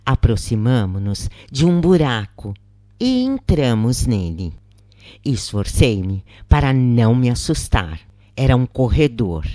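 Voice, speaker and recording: A narrator reading a scripted first-person account in measured, deliberate phrases with pauses. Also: mid-range voice, sing-song, dark tone, dry recording, clean studio sound.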